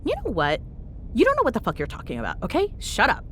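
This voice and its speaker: A woman's voice: on the high side, wildly swooping pitch, fast talker, a bit bright, slight background noise. A woman playing a young tsundere ghost hunter, teasing, bossy and secretly fond, in an audio roleplay with sarcastic banter. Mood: indignant, mock-angry. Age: young adult. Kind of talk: audio roleplay spoken to a listener.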